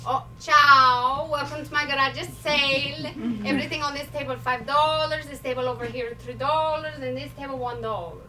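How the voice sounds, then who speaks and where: A woman playing a feisty, stubborn elderly Italian immigrant grandmother in a comic stage monologue, speaking blunt, halting broken English with an Italian accent. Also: sits high, fairly even intonation, close to an everyday voice. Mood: businesslike. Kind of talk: live comedic stage monologue. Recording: distant mic, noisy live room.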